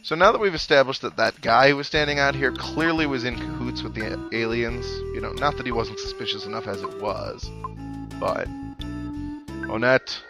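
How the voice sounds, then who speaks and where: A man's voice: mid-range voice, normal speed. A man hosting a game playthrough, chatting casually with wry, musing asides and reading on-screen text aloud. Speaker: host of a game playthrough series. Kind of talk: casual let's play commentary, reading game dialogue aloud. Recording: game music behind. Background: video game music.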